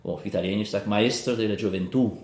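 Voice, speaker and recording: A man in his fifties from Ukraine, a priest, speaking German with a Ukrainian accent in halting interview answers with frequent fillers. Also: fairly low pitch, a little mellow, slight background noise. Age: 50s. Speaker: Salesian priest. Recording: roomy echo.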